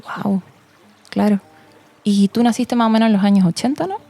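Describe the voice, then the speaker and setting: A woman's voice: medium pitch, normal speed, mellow, faint music behind. A woman co-hosting a podcast, asking curious follow-up questions in a friendly, casual way. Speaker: podcast co-host, interviewer. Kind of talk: casual interview talk.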